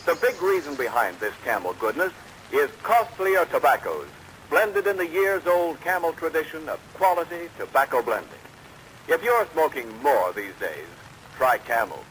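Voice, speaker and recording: A man's voice: a little high, big pitch swings, moderate pace, fairly bright tone, a little hiss or hum, poor audio. An American man reading 1940s radio advertising as an announcer, with a polished salesman's delivery. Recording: old radio broadcast, rain overlaid.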